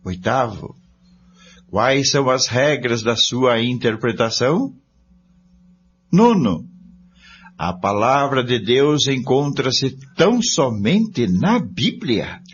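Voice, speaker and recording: Slow-paced, expressive, earnest man played by an actor. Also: medium pitch. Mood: solemn, questioning. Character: male study-group member, earnest, deliberate, polite. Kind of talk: scripted amateur radio drama, reading aloud. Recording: dull lo-fi recording, noisy hum.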